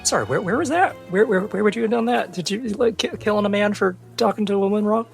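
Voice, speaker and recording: A man's voice: high-pitched, fast, vocal fry, soft background music, pitched above the performer's own voice. Man playing a hesitant, wary adventurer. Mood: wary, taken aback. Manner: hesitant, stammering, repeats words. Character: wary, taken-aback adventurer, politely awkward.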